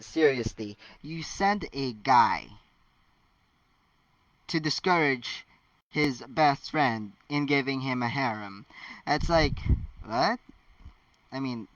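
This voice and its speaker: A man's voice: mid-range voice, a bit bright, dry recording, far from the mic, muffled recording. A man hosting a casual story read-aloud, chatty and joking, with rambling asides. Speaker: host of a fan fiction reading channel.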